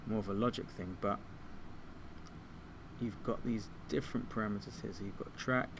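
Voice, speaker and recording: A man giving an informal software tutorial, explaining things step by step like a teacher. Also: fairly low pitch, normal speed, noisy background. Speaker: music technology teacher.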